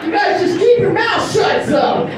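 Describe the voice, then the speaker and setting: Raspy lady doing dirty standup, slow talker, echoey hall, crowd laughing. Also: very high-pitched, lively intonation. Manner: brash, bawdy, self-mocking delivery. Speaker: stand-up comedian. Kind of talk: stand-up comedy set. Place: large indoor hall on a small stage. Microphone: handheld mic. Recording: echoey hall from far off with audience.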